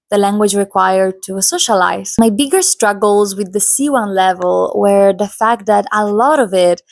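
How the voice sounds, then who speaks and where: A woman's voice: mid-range voice, no echo. A woman in her twenties, a native Italian and Polish speaker, hosting a casual vlog in a chatty, emphatic, personal way. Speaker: host. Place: home in Poland.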